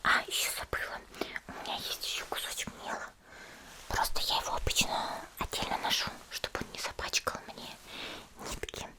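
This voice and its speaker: A woman playing a cheerful, caring young female demon slayer in a soft, chatty whispered ASMR roleplay. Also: normal speed, lightly acted. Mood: gentle, chatty. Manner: gentle, chatty, hesitant little murmurs.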